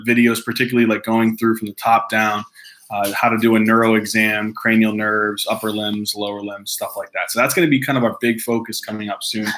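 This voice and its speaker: Man in his thirties, from Hawaii, lowish pitch, casual home webcam chat, laughs often, restarts sentences. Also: slight background noise. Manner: relaxed, laughs often, easily distracted, frequent restarts. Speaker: host reading fan mail. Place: room at home. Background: dogs barking at times.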